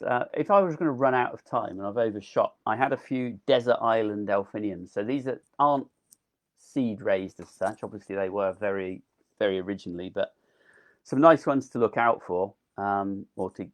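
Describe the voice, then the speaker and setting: A man giving a casual, enthusiastic live online gardening talk, full of ums, as his voice wears thin. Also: fairly low pitch. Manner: chatty, enthusiastic, with frequent ums. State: losing his voice. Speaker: delphinium grower giving a talk.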